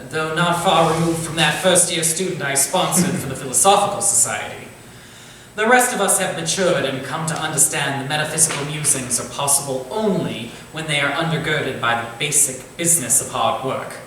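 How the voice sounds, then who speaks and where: A man's voice: a little high, normal speed, bright, forceful tone. A man playing a learned Victorian theatre manager, speaking formally with dry wit in a staged reading. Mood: dry, critical. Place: echoey room or small theatre, staged reading. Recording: roomy, distant stage recording, faint noise.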